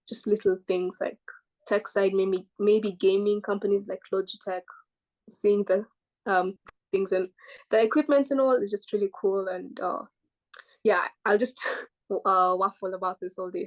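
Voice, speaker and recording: A woman's voice: medium pitch, low-quality recording. Female guest from Kenya, British-sounding accent, chatty and rambling. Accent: British-sounding English. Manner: chatty, rambling, self-deprecating. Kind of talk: casual interview answers.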